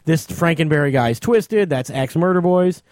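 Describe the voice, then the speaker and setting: A man's voice: a little high, lively intonation, normal speed, a little mellow, dry recording. A man hosting a radio show as a comic, explaining things insistently in casual chat.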